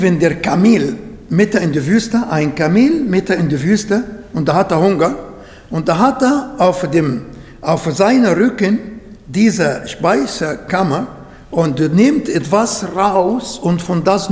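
A man's voice: high voice, lively intonation, slow pace, a little mellow. Arabic-speaking man preaching in a hall. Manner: earnest, searching for German words. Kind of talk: sermon.

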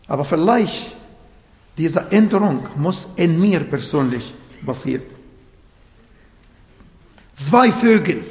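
A man whose first language is Arabic, preaching a sermon in German in an earnest, emphatic way with grammatical slips. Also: on the high side. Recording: echoey hall with long reverb.

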